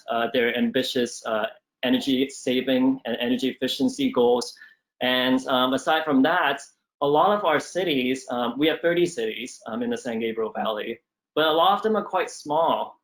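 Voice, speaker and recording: Young male analyst, mid-pitched, steady and hesitant. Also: poor audio. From Southern California. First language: Cantonese. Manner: measured, with frequent ums and uhs. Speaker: management analyst at a regional government council. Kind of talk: conversational interview answers.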